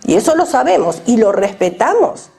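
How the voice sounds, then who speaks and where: Animated woman preaching. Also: a little high, normal speed, vocal fry, husky. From Argentina. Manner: questions the audience directly, emphatic. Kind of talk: sermon to a women's gathering.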